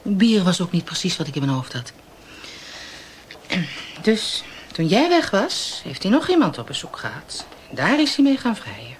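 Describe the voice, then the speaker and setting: A woman's voice: fairly low pitch, huge pitch swings, normal speed, slight background noise. An actress playing a teasing, sardonic female police inspector in a naturalistic radio drama. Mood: probing, sardonic. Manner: sardonic, probing.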